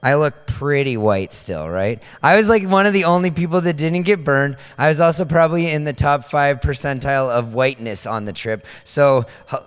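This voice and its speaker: Young guy preaching on a big stage, kinda hoarse, lots of ums, mic echoing round the hall. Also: fairly high pitch, moderate pace. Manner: loose, chatty, many fillers and restarts. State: voice partly lost, slightly hoarse. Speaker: pastor. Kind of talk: casual sermon. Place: large dark stage in a church hall. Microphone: handheld mic. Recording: long hall reverb.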